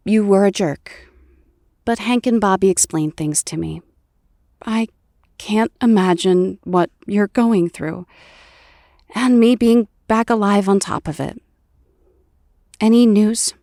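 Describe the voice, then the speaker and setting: A compassionate superheroine played by a woman speaks with gentle sincerity and frequent halts. Her medium-pitched voice takes its time, captured close and dry for audio drama dialogue. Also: slow, pauses often, no echo. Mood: gentle, candid.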